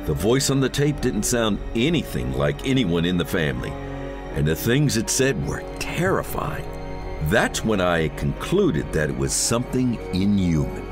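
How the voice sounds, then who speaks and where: A man's voice: fairly low pitch, big pitch swings, normal speed. An earnest, touchy ghost hunter one would hear defending his haunting in a horror audio play, grave voice rising and falling, music behind a man acting. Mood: grave, defensive. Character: defensive, solemn, uneasy male ghost hunter with thinning hair. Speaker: character actor in an audio horror story. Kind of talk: acted horror audio drama dialogue.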